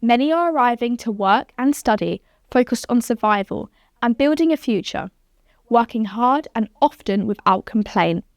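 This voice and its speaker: A woman presenting a news bulletin, introducing reports with a crisp, polished read. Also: on the high side, animated, moderate pace, no room sound, clean studio sound. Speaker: news anchor. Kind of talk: scripted news anchor read.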